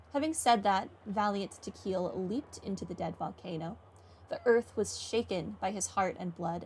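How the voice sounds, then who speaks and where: A woman's voice: mid-range voice, darkish tone. A young woman doing casual, informal gameplay commentary and reading game text aloud in a chatty way. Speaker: gaming playthrough streamer.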